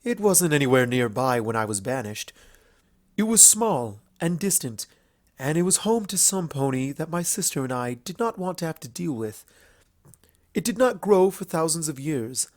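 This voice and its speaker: A man's voice: fairly high pitch, big pitch swings, moderate pace, no echo, studio quality, pitched above the performer's own voice. A man voicing a playful, imperious female alicorn pony princess in a story reading, with formal, grandiose speech. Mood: wistful, reserved. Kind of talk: fan fiction character reading.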